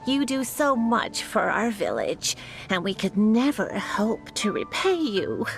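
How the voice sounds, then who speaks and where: An actress playing a gentle, grateful old village granny with a creaky voice in a video game. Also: female voice, medium pitch, very animated, slow, faint music behind, heavily acted. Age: older.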